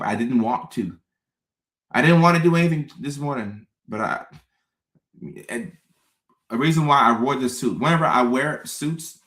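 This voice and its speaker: Man from ghana, thirties, lowish voice, relaxed livestream chat, repeats himself. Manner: relaxed, chatty, repeats phrases, reads viewer comments aloud. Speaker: host.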